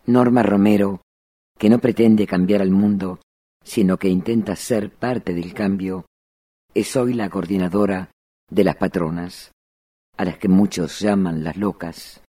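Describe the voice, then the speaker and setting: Very deep, husky male documentary narrator. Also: steady tone, unhurried, warm tone, no room sound, studio quality. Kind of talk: scripted narration.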